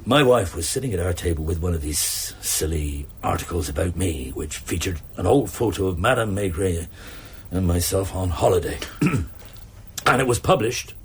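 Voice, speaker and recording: An actor playing a shrewd, drily courteous police chief inspector in a naturalistic radio drama, with measured, understated delivery. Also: male voice, deep voice, lively intonation, slight background noise. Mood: measured explaining. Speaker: actor in a detective radio play.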